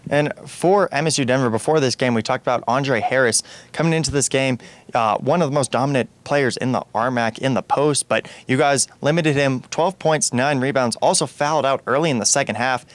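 A man's voice: mid-range voice, a little fast, no room sound. Young male sports reporter, dry close sound. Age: young adult. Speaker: athletics reporter, interviewer. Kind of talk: postgame sports interview. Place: indoors in front of a media backdrop. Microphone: handheld interview microphone.

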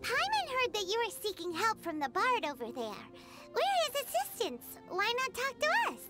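A woman voicing a tiny, chirpy, pushy fairy girl companion in a video game, with a squeaky childlike voice and perky manner. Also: wildly swooping pitch, normal speed, full character voice. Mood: eager. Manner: perky, refers to self in third person. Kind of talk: scripted video game voice acting. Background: music.

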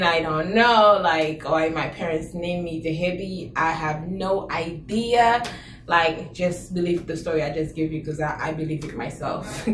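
A young woman from Haiti with a Haitian accent, telling stories casually to camera in a small room, animated and laughing, full of rhetorical questions. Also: medium pitch, slight background noise. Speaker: vlogger. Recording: roomy echo, slightly distant mic.

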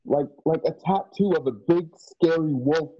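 A man playing an earnest, anxious young man in a radio drama, with a husky voice and a hesitant, stammering delivery. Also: fairly high pitch, unhurried, no room sound. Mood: curious, tentative. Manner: hesitant, stammering, repeating words. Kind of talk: online radio drama acting. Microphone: video call, home setup. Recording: dull lo-fi video call.

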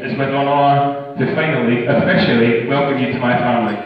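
A man's voice: medium pitch, flat delivery, a little fast, over loud music. A young Scottish man giving an informal, joking best man's speech at a wedding, with casual swearing and banter. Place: large function room at a wedding reception. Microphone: handheld PA microphone, filmed from the audience. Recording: echoey hall from far off, muffled, crowd noise. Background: wedding guests' chatter and laughter.

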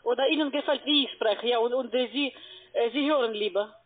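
A woman, speaking in a halting way as a telephone sales caller. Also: a little high. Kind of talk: phone sales talk. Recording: muffled narrowband phone line.

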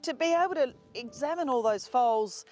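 A woman's voice: sits high, expressive, normal speed, a little mellow, soft background music. A woman presenter interviewing a horse breeder, enthusiastic and upbeat.

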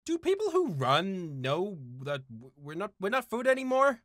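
A playful host reads funny social media posts in a theatrical way. The pitch swings around in a lively manner, and the sound is close and dry. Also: moderate pace, no room sound.

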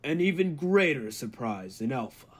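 An actor playing a cold, megalomaniac humanlike robot in an old-time radio drama, speaking deliberately and with grandiose menace. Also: male voice, medium pitch, big pitch swings, slightly rough. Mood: menacing. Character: cold, megalomaniac humanlike male robot bent on world mastery.

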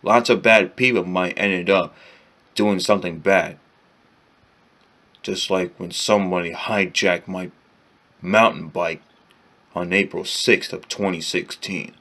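Young male vlogger, lowish voice, unhurried delivery, close webcam in a small bedroom. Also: fairly even intonation, fairly bright tone. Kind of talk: casual vlog to camera.

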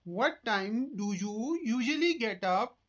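A woman voicing a friendly cartoon boy who asks clear, simple questions in a slow scripted practice dialogue. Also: fairly high pitch, lively intonation.

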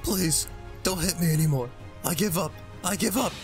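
A man's voice: fairly high pitch, normal speed, close to an everyday voice. A man playing a defeated young man in a comic dub, pleading, bitter and self-pitying. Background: music.

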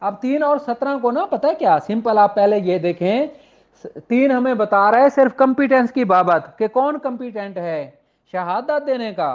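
A man with a Pakistani accent, lecturing informally as a law teacher and switching between explanation and slowly read-out legal English. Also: extremely high pitch, animated. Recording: dull, lo-fi audio.

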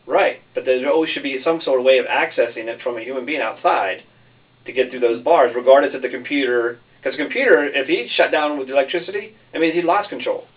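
A man of medium pitch talks quickly, pressing questions and objections in a group discussion. The mic is a bit distant. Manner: challenging, questioning.

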